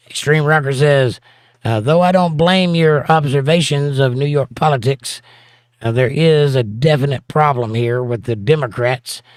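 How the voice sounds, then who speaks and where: A man's voice: medium pitch, normal speed, dry recording, clean studio sound. Laid-back Southern man reading chat. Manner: laid-back, teasing, chuckles often. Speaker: livestream host reading chat. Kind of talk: casual chat.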